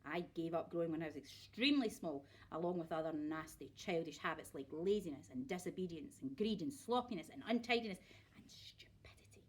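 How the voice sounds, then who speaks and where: Woman performing a grumpy old storybook grandma, selfish, scolding, husky edge, lively swings in pitch. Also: a little fast. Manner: snapping, bossy, scolding.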